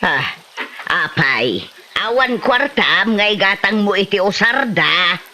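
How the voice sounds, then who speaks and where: A woman plays a village moneylender in a comedy drama, speaking with an Ilocano Filipino accent. Her voice is bright and forceful and swings widely in pitch. She is exclamatory, neighbourly and proud of her cash. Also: expressive, takes it slowly.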